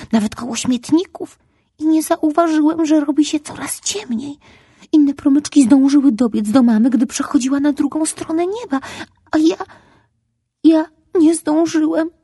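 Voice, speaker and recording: A woman's voice: dark tone, no echo, clean studio sound. Woman doing a tiny, tearful sunbeam boy. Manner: high, pleading, tearful. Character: tearful little sunbeam boy lost from his mother.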